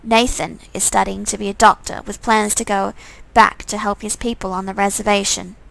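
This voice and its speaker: A woman's voice: mid-range voice, level tone, moderate pace, vocal fry, fairly bright tone, poor audio. A woman reading a visual novel aloud as a game streamer, adding playful, wry asides of her own. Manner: playful, wry side comments.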